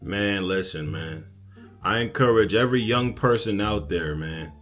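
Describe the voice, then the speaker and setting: A man's voice: fairly low pitch, a little slow, fairly bright tone, soft background music. A man hosting a reaction video, giving casual, slangy commentary in an emphatic, conversational way.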